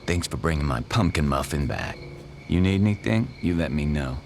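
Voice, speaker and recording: A low, drawling, affectionate partner. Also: male voice, expressive, moderate pace, vocal fry, darkish tone, slight background noise, full character voice.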